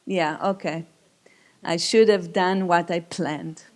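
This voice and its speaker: A woman from Israel with an Israeli accent, answering questions on a panel in a halting, thoughtful way. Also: lowish voice, steady tone. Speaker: composer, panelist. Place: live event venue with audience.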